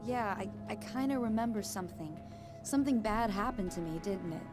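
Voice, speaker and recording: A woman playing an uneasy young woman in a video game, talking in a halting, nervous, naturalistic way. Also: medium pitch, animated, natural voice. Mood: uneasy, bewildered. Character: uneasy, hesitant young woman caught in strange events. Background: music.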